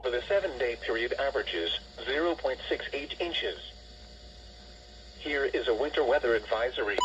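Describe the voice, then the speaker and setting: A synthetic male announcer reading a scripted weather bulletin in a measured, impersonal way, heard through a radio speaker. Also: mid-range voice, animated, a bit bright, a little hiss or hum. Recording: dull lo-fi weather radio playback.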